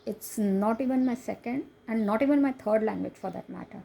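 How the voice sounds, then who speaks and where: Indian woman reviewer, mellow gravelly voice, chatty and self-deprecating. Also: on the high side, a little fast, slight background noise. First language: Konkani. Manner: chatty, laughs often, self-deprecating asides. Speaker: coloring book reviewer. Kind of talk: casual book flip-through.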